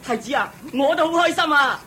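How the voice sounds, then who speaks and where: Prince's attendant female actor, Hong Kong Cantonese radio drama: highish, very bouncy intonation, cheery and eager, noisy ambience. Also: expressive, brisk, sharp tone. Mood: delighted.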